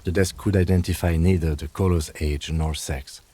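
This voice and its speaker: An actor playing a calm, dry, dutiful police lieutenant in a video game, speaking in a measured, formal way. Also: male voice, sits low. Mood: matter-of-fact, serious. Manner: measured, matter-of-fact, formal. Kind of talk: video game dialogue acting.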